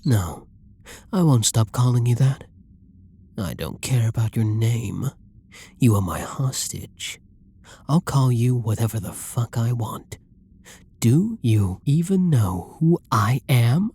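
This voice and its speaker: A man playing a flirty, menacing masked villain in an intimate ASMR roleplay, breathy and husky, taunting and commanding in a condescending way. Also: medium pitch, expressive, slow, mellow, a little hiss or hum. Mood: arrogant. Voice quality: breathy, husky, often near a whisper.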